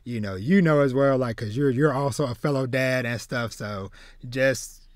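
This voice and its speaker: A man in his thirties hosts a casual podcast in a halting way, doubling back on his words. The sound is close and dry, with no room on it. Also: medium pitch, no echo. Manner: halting, trailing, repeats words. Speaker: podcast host. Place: small home room with shelves, video call. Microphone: close desk mic.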